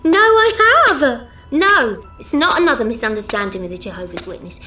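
A woman's voice: on the high side, expressive, normal speed, bright, forceful tone, faint music behind. A middle-aged woman playing a chatty, fussing working-class mum in an audio drama, gossipy and breezy. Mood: confiding, chatty. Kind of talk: audio drama monologue acting.

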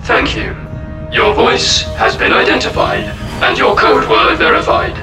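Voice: high-pitched, measured pace, gravelly. An actor playing a formal phone service operator, speaking in clipped, procedural phrases in an audio drama. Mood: politely official. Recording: echoey distant radio-like sound over music.

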